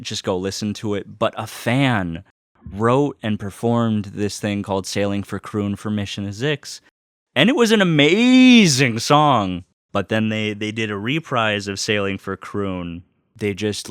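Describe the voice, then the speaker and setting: A man's voice: fairly low pitch, fairly bright tone, clear, resonant tone, dry recording, clean studio sound. A man giving a casual, enthusiastic monologue as host, speaking in an earnest, gushing way and swearing casually.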